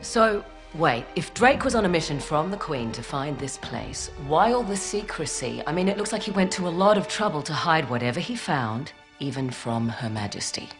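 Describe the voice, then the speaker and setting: Low, animated, shrewd adventuress. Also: female voice, normal speed, few pauses. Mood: questioning, wary. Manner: probing, wry. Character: shrewd, questioning, wry female adventurer. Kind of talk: naturalistic video game cutscene acting. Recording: game music behind the voice.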